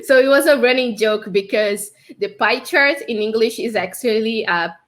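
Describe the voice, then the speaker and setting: A woman's voice: a little high, normal speed, a bit bright. A young woman from Brazil with a Brazilian Portuguese accent, chatting casually as a guest on a livestream interview over a video call, laughing often. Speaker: guest, developer advocate.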